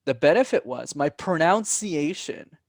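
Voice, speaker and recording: A young man being interviewed as a public speaking coach, speaking with clear, deliberate enunciation in a coaching tone. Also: a little high, lively intonation, no echo, clean studio sound. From Canada. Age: young adult. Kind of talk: podcast interview.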